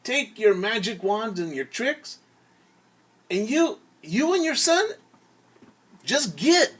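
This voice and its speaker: A man's voice: high-pitched, big pitch swings, takes it slowly, a bit bright, slightly rough, voice pushed up, full character voice. A man voicing angry, dismissive grown-up townspeople in a children's storybook read-aloud. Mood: angry, scolding. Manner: scolding, dismissive. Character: angry grown-up townspeople banishing a boy and father.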